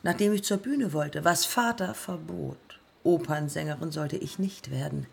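An actress playing a proud, determined woman of early aviation in a radio play, speaking husky and creaky in terse, deliberate phrases. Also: low-pitched, exaggerated voice. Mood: intense, brooding. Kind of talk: radio play monologue and dialogue.

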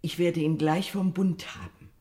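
An actress playing a fussy, deferential old housekeeper in a radio play, her voice breathy and wavering. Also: on the low side, steady tone, normal speed, dark tone, close to an everyday voice. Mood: flustered, fussing. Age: older. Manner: fussing, servile. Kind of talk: German radio drama acting.

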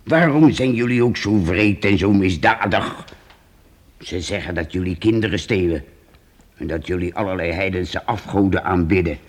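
An actor playing a shrewd, teasing old priest who teaches children in a radio play, probing and mock-stern. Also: male voice, sits low, moderate pace.

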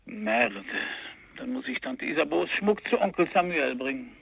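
An actor playing a broke private detective, gruff, curt and weary, in dialogue from a radio crime play. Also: male voice, a little high, lively intonation, normal speed, lo-fi sound. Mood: irritated, resigned. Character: gruff, broke male private detective, impatient with his secretary.

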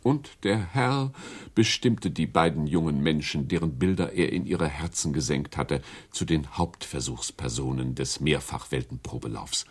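A man's voice: very deep voice, expressive, normal speed, husky. A man narrating a radio drama with a dramatic storytelling delivery.

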